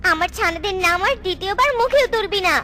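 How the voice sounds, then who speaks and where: A woman's voice: very high-pitched, lively intonation, fast talker, very noisy. A woman voicing an honest, hardworking mother tailorbird in a cartoon, fierce and pleading. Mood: defiant.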